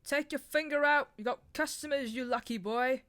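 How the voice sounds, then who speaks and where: A woman reading an audiobook voices a very fat, boisterous man who shouts and roars with laughter. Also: high voice, normal speed, voice pushed up. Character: very fat boisterous older man, loud and jolly.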